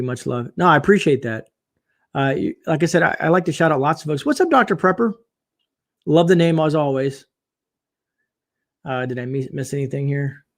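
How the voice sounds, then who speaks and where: A man hosting a late-night livestream, his voice sitting at an ordinary middle pitch. He talks loosely and chattily, with lots of fillers, and reads viewer comments aloud. His voice is going a little hoarse. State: voice getting hoarse. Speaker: livestream host.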